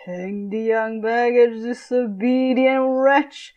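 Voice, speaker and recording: Woman acting a furious Shakespearean father, high and scornful. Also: fairly even intonation, takes it slowly, dull audio. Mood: raging. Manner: archaic, declamatory, scornful. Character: furious tyrannical father, Shakespearean patriarch berating his daughter.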